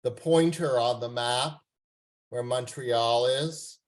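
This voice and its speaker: A man from Canada whose first language is French, reading his poetry at an open mic over a video call in a slow, careful and polite manner. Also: medium pitch.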